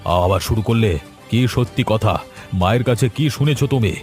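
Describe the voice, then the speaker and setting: Defensive husband male voice actor, fairly low pitch, short-tempered and uneasy, cartoon drama over light music. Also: normal speed, a little mellow, close to an everyday voice. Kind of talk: Bengali cartoon melodrama voice acting.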